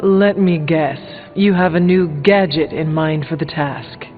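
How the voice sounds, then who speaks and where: Male actor as solemn, literal-minded game warrior hero. High male voice, formal delivery, music behind. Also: measured pace, phone-quality sound, lightly acted. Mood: earnest, resolute. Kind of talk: video game dialogue acting. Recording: thin band-limited game audio, long reverb, music.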